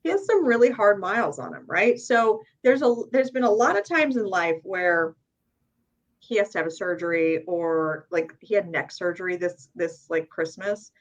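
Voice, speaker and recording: A middle-aged woman, a real estate agent, talking casually as a podcast guest, upbeat and tagging her points with a quick "right?". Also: fairly low pitch, lively intonation, highs cut off. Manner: upbeat, talks in quick asides with frequent "right?". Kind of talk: casual interview chat.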